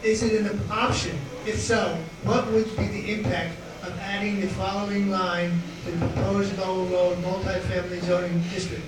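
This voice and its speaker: A man's voice: high voice, level tone, very echoey. Guy moderating a town forum, reads stuff out hesitantly, far from mic, noisy room. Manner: halting, hesitant reader. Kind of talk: reading questions aloud at a meeting. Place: meeting room.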